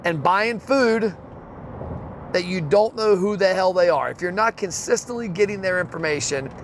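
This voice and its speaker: A man with a fairly high voice hosts a podcast, blunt and fired up, cursing freely as he makes his point. He is recorded on the road, with faint traffic and car noise behind him. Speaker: podcast host. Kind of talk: casual solo podcast rant.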